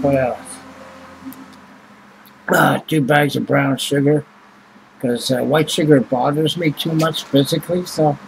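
A man's voice: medium pitch, vocal fry, a little mellow, slight background noise, low-quality recording. A man, rambling and swearing casually as he reads prices off a receipt into a webcam at home. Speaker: vlogger. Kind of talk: casual chat. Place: small home room indoors.

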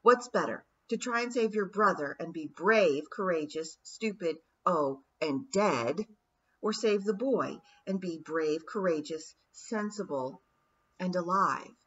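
A woman voicing a young girl's sharp, practical inner voice, speaking urgently and insistently in an audiobook. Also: fairly low pitch, animated, slow pace, slightly rough, recorded from a distance, dull audio, heavily acted.

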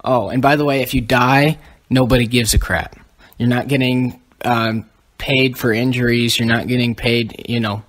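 A man's voice: mid-range voice, steady tone, moderate pace, a bit bright. A young man co-hosting a podcast, explaining history in a casual, lecturing way with many ums. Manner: lecturing, lists items, frequent ums. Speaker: podcast co-host.

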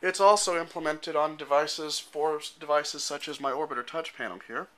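A man in his thirties giving an informal, matter-of-fact demonstration as a software developer, explaining things step by step into a handheld camera. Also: on the high side, normal speed. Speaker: software developer presenting a demo. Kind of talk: informal product demonstration narration. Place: indoor room at home. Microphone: handheld camera microphone.

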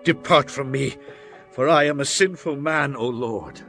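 A man's voice: mid-range voice, unhurried, fairly bright tone, soft background music. An actor playing a humble, weary fisherman in a dramatized Bible, earnest and declamatory. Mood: ashamed, pleading.

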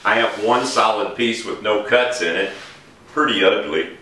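A middle-aged man explaining woodworking steps in a relaxed, casual way while he works in a large indoor workshop. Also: fairly low pitch, measured pace, a little hiss or hum. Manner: relaxed, explains each step while working. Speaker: woodworking instructor. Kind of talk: casual demonstration while working. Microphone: camera mic from a distance. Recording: echoey workshop, distant mic.

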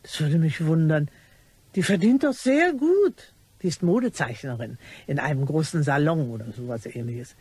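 An actress playing a chatty, nosy French cleaning lady in a radio crime play, rambling and exclaiming with a husky voice. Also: low-pitched, animated, normal speed, mellow, no room sound. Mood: eager gossiping. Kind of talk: radio crime drama acting.

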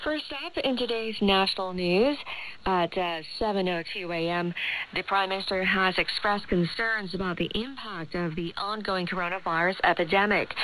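A woman's voice: lowish voice, a bit bright, a little hiss or hum, poor audio. A woman radio presenter reading scripted news on a shortwave broadcast. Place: radio studio, heard over shortwave.

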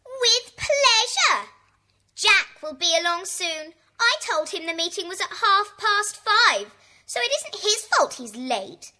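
A woman's voice: very high-pitched, sing-song, takes it slowly, pushed and bright, full character voice. An actress playing a cheeky, teasing schoolgirl prankster with a mocking manner in a children's audio drama. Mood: smug, teasing. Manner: mocking, giggly.

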